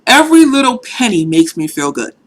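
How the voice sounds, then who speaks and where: A woman's voice: a little high, sing-song, fairly quick. A woman in her thirties from Boston, chatting candidly in a casual vlog with a faint Boston accent that shows now and then, full of "you know.". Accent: light Boston. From Boston, USA. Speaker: vlogger. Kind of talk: casual talking-to-camera vlog.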